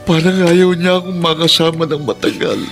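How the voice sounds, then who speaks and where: A man's voice: on the high side, steady tone, moderate pace, a bit bright, faint music behind. A man playing a despairing, self-pitying middle-aged father with a Filipino accent, acted melodramatically for radio drama. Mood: bitter, suspicious.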